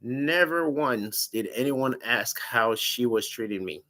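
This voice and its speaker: Man, big loud voice, animated storytelling chat. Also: mid-range voice, a little slow. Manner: animated, laughing, quotes himself in raised voice. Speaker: dating show guest.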